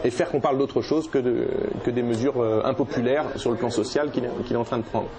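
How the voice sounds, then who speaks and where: A man's voice: mid-range voice, steady tone, a little fast. A man giving political commentary in a documentary interview, hesitant with many fillers and restarts.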